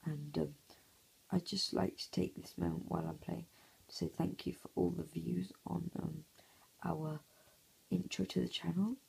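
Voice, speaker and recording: A man with a hoarse, croaky voice, half-whispering casual commentary over a mobile game at home early in the morning, recorded on a phone. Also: on the high side, lively intonation, a little slow, darkish tone, rough recording. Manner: half-whispering to avoid waking sleepers. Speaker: gameplay commentator.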